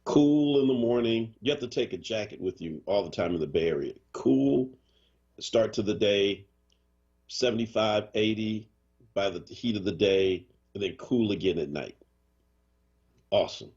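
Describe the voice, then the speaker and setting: A man's voice: on the low side, moderate pace, husky, highs cut off. A man hosting a morning radio show in casual chat, joking and teasing, with a Boston accent. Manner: animated, joking, does mock voices. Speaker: radio host.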